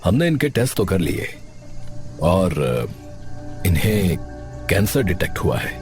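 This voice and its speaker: A man voicing a grave hospital doctor in a story, speaking formally in a measured, halting way. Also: sits low, sing-song, darkish tone, soft background music, pitched below the performer's own voice, full character voice. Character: grave male hospital doctor giving bad news.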